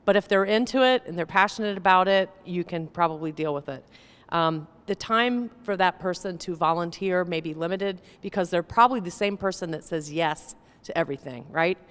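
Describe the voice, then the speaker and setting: A woman's voice: lowish voice, a little fast, smooth, in a large hall. A woman sharing her table's thoughts at a community seminar, chatty and often asking "right?". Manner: conversational, asks "right?". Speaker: seminar participant.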